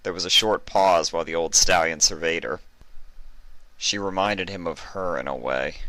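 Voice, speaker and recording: A man's voice: deep voice, sharp tone, no room sound, low-quality recording. A man reading a story aloud as a scripted narration. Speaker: narrator of fan fiction. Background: music.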